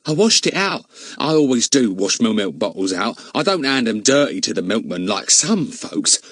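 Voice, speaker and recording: A man's voice: mid-range voice, big pitch swings, moderate pace, fairly bright tone, husky, dry recording, full character voice. A man voicing a talkative, easily offended older female housekeeper who keeps calling her employer sir. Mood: chatty. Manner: gossipy, deferential, many a "sir". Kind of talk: audiobook character voice.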